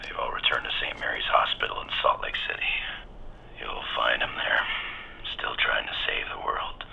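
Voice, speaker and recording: An actor voicing a dying, bitter male survivor who speaks in a rasping whisper. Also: moderate pace, sharp tone, rough recording.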